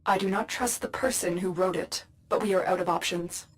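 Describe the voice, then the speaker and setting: An actress playing a calm, secretive female guide in a video game, giving measured, urgent instructions. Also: lowish voice, rough recording. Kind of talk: video game mission voice acting.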